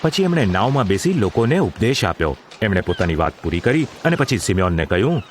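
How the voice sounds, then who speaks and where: A man's voice: on the low side, sing-song, fast talker, slight background noise. A man narrating a scripted audio drama in a formal, literary storytelling manner. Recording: music under the voice.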